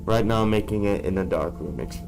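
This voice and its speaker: Low-voiced guy vlogging in a dark room, sick and kinda worn out, blunt, music going behind him. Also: fairly quick, vocal fry. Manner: blunt, swears casually. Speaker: YouTuber. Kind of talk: casual talking-head vlog.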